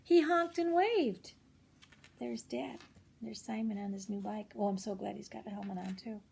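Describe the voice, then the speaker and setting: A woman's voice: medium pitch, moderate pace, creaky, a little mellow, a little hiss or hum. An older woman reading a children's chapter book aloud expressively, slipping in chatty little asides to young listeners. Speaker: children's read-aloud host.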